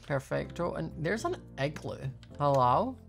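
A woman's voice: sits low, very animated, measured pace, vocal fry. A young woman giving casual, playful gameplay commentary, thinking aloud as she hosts a gaming video. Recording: faint music behind voice. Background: faint music.

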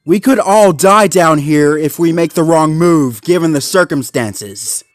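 A man voicing an angry, bitter young dog, pitched up. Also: sing-song, measured pace, a bit bright, no room sound, studio quality. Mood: insistent, distrustful. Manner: confrontational, emphatic. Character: angry, bitter, accusing male cartoon Labrador pup.

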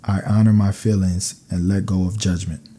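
A man narrating scripted sleep meditation affirmations in a calm, soothing, measured way. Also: low-pitched, a little hiss or hum.

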